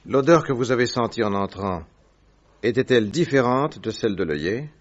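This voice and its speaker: An actor playing a calm detective who asks measured, probing questions. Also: male voice, mid-range voice, close to an everyday voice. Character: calm inquisitive male detective. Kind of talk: radio drama acting. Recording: dull lo-fi sound.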